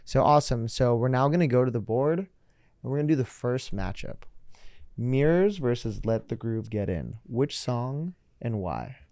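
A young man hosts a casual music interview, upbeat and talkative, leaning on "like" as he goes. His tone is mellow and warm. The recording is dry and close to the mic. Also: medium pitch, very animated, normal speed, dry recording. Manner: upbeat, chatty, many likes. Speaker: podcast host.

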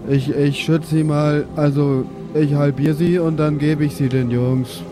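A man's voice: on the high side, flat delivery, measured pace. A man improvising in character as a tabletop role-playing player, casual and colloquial, with music behind him.

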